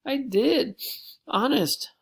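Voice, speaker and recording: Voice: sits high, animated, very slow, gravelly, slightly rough, pitched above the performer's own voice, exaggerated voice. A reader voicing an earnest, anxious young schoolgirl in an audiobook, pleading and breathless. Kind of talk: audiobook character voice.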